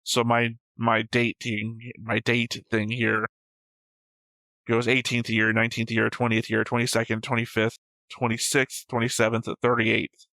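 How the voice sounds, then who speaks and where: Bright-voiced man in matter-of-fact discussion. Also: mid-range voice, monotone, measured pace, no room sound, poor audio. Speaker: reaction show host. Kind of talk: casual discussion of an animated series.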